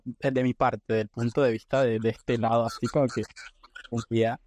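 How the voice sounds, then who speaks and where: A man's voice: mid-range voice, darkish tone, no room sound. A man co-hosting a casual podcast, talking in a playful, joking and theatrical way. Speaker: podcast co-host.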